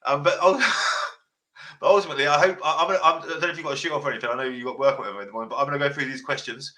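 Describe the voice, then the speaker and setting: A man hosting a podcast, giving blunt advice in casual chat with a deep voice, swearing freely and repeating himself. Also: very fast. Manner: passionate, sounds like shouting. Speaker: podcast host.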